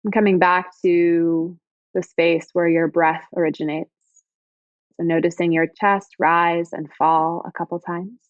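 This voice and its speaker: Low-voiced woman guiding a gentle meditation. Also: level tone, dry recording, dull audio. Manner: soothing, gentle, unhurried phrasing with pauses. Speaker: yoga teacher guiding meditation. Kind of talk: guided body scan meditation.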